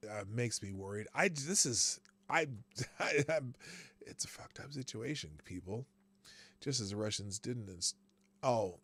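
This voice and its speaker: Halting male live-stream news host. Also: mid-range voice, a bit bright, no echo. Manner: halting, many restarts and fillers, reads articles aloud, chats with viewers. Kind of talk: live-stream news commentary, reading headlines and chat.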